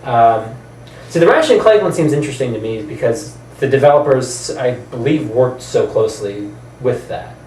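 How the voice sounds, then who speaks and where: A man's voice: mid-range voice, lively intonation, moderate pace, a little mellow. A man hosting a video channel in casual chat, rambling and informal, sometimes trailing off. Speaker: host of a video channel about films and games. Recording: roomy echo, distant mic, faint noise.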